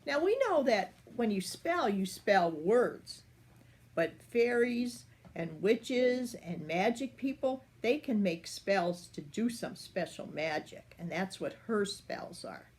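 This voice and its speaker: An older woman reading a picture book aloud for children in an expressive, unhurried way. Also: medium pitch. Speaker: storyteller.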